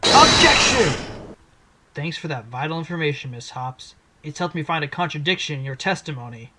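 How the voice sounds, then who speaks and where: A man's voice: a little high, very animated, moderate pace, loud music behind the voice. A man playing a wry, earnest fox defense attorney in an audio drama, with a dramatic courtroom delivery. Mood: confident, challenging. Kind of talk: fan audio drama acting.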